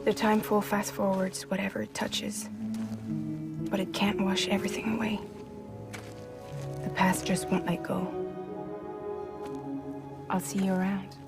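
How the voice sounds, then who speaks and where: An actress playing a weathered, guarded courier boss in a video game, speaking in a wry, measured way. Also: female voice, medium pitch, little pitch movement, gravelly. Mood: quietly ominous. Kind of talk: video game cutscene acting. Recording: loud background music.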